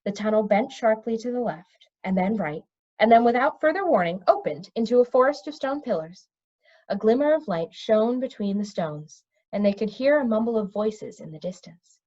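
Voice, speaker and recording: A woman reading a children's fantasy novel aloud as an expressive storybook narrator. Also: fairly high pitch, moderate pace. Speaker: storybook reader. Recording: dull, lo-fi recording.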